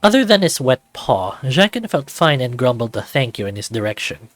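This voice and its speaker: A man's voice: medium pitch, sing-song, normal speed, no room sound. A man reading scripted story narration for a fantasy visual novel. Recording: background music under the voice.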